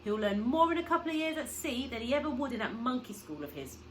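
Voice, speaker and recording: A woman voicing an excitable, persuasive father from a children's story, breathless and emphatic with big plans. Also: on the high side, fast talker, slight background noise, voice pushed up, rough recording. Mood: persuasive, excited.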